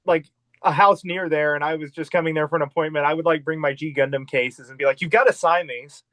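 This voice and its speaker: Excited fan host, higher man's voice. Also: fast, no echo. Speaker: channel host. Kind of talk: casual fan interview.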